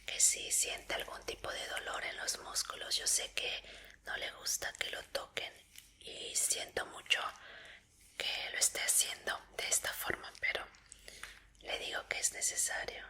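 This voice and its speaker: A woman doing a whispered ASMR medical roleplay in a breathy voice, speaking politely and reassuringly as an examiner. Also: measured pace, pushed and bright.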